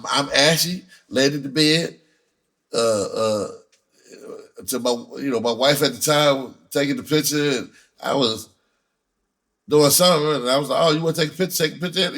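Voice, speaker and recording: A man's voice: on the low side, a bit bright. An American man, a comedian and rapper, giving his candid opinion in a casual, blunt, profane monologue full of slang.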